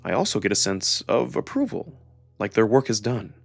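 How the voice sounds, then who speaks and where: A man narrating a first-person story dramatically, with expressive, measured phrasing. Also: lowish voice, vocal fry, dry recording, soft background music. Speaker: narrator and performer of a visual novel.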